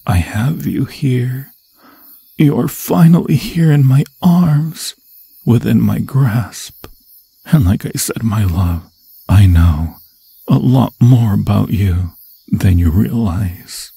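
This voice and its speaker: A man voicing an obsessed undead forest demon in an ASMR fantasy roleplay, seductive and menacing, with a husky, breathy voice that often drops to a whisper and slow, theatrical, intimate phrasing. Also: medium pitch, warm tone, no echo, full character voice. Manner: slow, theatrical, intimate, crooning endearments in archaic formal phrasing.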